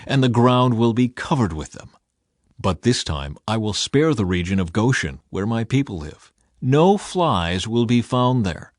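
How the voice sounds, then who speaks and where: A man's voice: mid-range voice, no room sound, studio quality, natural voice. A man voicing a solemn, commanding God in a scripture audiobook. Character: commanding, solemn male God issuing decrees.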